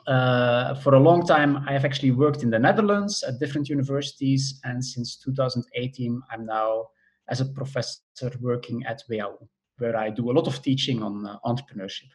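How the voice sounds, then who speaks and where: A man's voice: medium pitch, fairly quick. A middle-aged man from Belgium, a professor of entrepreneurship, answering interview questions in a thoughtful way that explains things step by step, with frequent hesitations.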